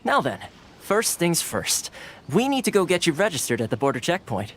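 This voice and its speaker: Easygoing young male fixer, playful and teasing, lively intonation, game dub with music. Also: on the high side, moderate pace, a little hiss or hum. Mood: assured. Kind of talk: video game voice acting.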